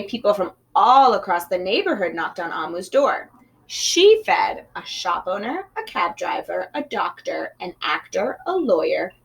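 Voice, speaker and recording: Woman reading a kids book out loud, super lively up and down voice. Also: mid-range voice, creaky, poor audio. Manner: expressive, storytelling. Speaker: storybook reader. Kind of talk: children's read-aloud.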